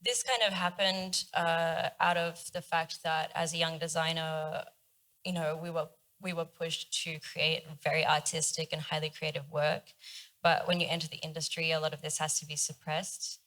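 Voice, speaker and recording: A woman's voice: deep voice, little pitch movement, moderate pace, fairly bright tone, poor audio. A woman in her twenties, a young designer on a panel, explaining her project steadily with occasional fillers. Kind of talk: panel discussion talk.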